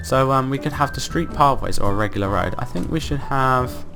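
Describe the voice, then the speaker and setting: Croaky, upbeat man narrating gameplay. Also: medium pitch, lively intonation, brisk, music in the background. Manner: upbeat, rambling, thinks aloud with fillers. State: croaky from illness. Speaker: let's play host. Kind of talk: casual gameplay commentary.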